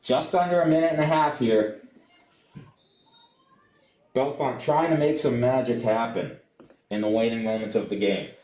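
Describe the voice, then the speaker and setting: A young adult man doing live soccer play-by-play for radio, describing the action in an animated way. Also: medium pitch, moderate pace, pauses often, roomy sound. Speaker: play-by-play sportscaster.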